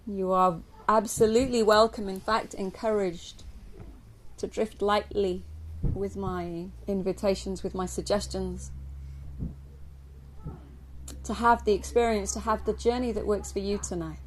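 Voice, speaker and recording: A woman from England leading a guided yoga nidra meditation for a group, speaking gently and slowly with long pauses. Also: medium pitch, low-quality recording. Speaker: yoga nidra teacher guiding a relaxation. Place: live group session at a camp. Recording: steady ambient noise, live recording.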